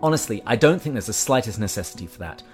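A man voicing a fussy, evasive male ghost who pleads and makes excuses in a higher, strained voice. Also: lively intonation, fast, faint music behind, close to an everyday voice. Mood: pleading. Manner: whiny, pleading, self-excusing.

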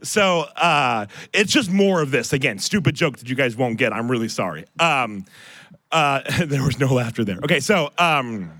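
Bright-voiced American man joking on a podcast. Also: mid-range voice, lively intonation, normal speed, no room sound. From Ohio, United States. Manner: self-deprecating, sarcastic joking, rambling asides. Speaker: podcast co-host. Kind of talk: casual live podcast banter. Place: on stage before a live audience. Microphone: close stage microphone.

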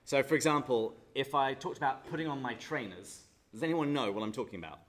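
An English man speaks to a live audience in a hall, his voice sitting at an everyday middle pitch. He keeps things chatty and jokey, firing questions at the crowd, and turns slower and more solemn when he leads a prayer. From England. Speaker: speaker at a parish talk. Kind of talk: humorous church talk to a live audience.

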